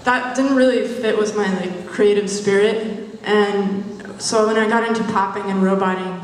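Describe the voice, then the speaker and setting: Woman dancer speaking flatly in an echoey hall. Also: mid-range voice, distant mic, slight background noise. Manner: earnest, halting, with many fillers. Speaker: dancer and community organizer. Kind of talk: panel discussion introduction and answer. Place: large event hall.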